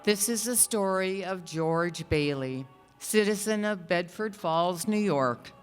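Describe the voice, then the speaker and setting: A woman's voice: lowish voice, expressive, slow. A woman announcing and narrating a scripted radio show in an old-time style. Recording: echoey hall, music behind. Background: music.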